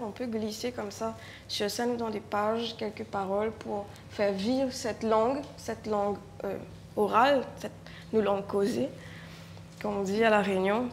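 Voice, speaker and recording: A woman's voice: mid-range voice, creaky, slight background noise. A woman writer from Mauritius speaking earnestly and reflectively at a panel discussion, with some hesitations. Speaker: writer and performer. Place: indoor public event.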